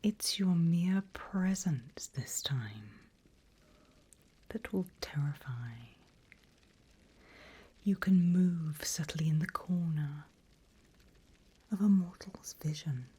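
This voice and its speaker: Female demon mentor, acted by a woman for whispered ASMR roleplay: slow, hushed, very breathy, vain and slyly teasing. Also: lowish voice, full character voice. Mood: sly, ominous. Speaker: demon guide training a newcomer.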